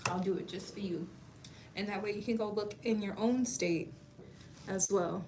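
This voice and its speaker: A woman's voice: mid-range voice, moderate pace, darkish tone, a little hiss or hum. Chatty woman in her thirties livestreaming. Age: 30s. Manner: chatty, laughs often, calls viewers baby. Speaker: beauty school staff and livestream host. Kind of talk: casual livestream chat.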